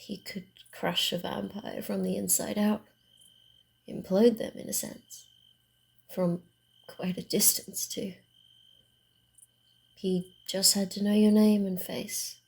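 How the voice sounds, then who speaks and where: Woman playing a sly, creaky-voiced female vampire. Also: medium pitch, flat delivery. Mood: ominous storytelling. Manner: theatrical, hushed, deliberate with breathy asides. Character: sly, guarded female vampire, centuries-weary, teasing and secretive. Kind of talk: ASMR roleplay voice acting.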